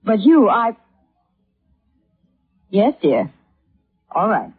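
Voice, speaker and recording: An actress playing an anxious, bewildered, polite wife in a 1950s radio drama, speaking hesitantly and with emotion. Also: female voice, medium pitch, very animated, very slow, breathy edge, heavily acted. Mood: anxious, relieved. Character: anxious middle-class American wife, polite, bewildered, wounded. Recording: muffled vintage radio recording.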